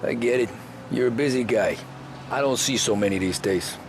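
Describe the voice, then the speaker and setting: An actor playing a weary, dryly polite 1930s cab driver in a game cutscene, speaking in a laconic way. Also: male voice, mid-range voice, moderate pace, background noise, close to an everyday voice. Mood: weary, wry. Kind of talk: naturalistic game cutscene acting.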